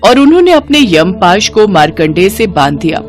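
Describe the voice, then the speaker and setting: Expressive woman narrator over music. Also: mid-range voice, moderate pace. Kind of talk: scripted devotional storytelling narration.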